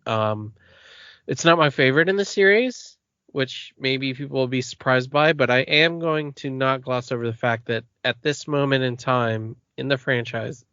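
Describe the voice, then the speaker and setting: A man's voice: medium pitch, bright, forceful tone, smooth, no room sound. Enthusiastic joking man, dull mic. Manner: enthusiastic, joking, many fillers. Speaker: podcast co-host. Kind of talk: casual chat.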